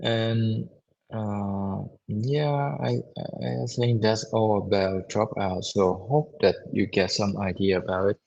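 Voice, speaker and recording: A hoarse man giving a university lecture online, halting, with many repeats and fillers. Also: lowish voice, steady tone, a little mellow. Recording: dull lo-fi audio.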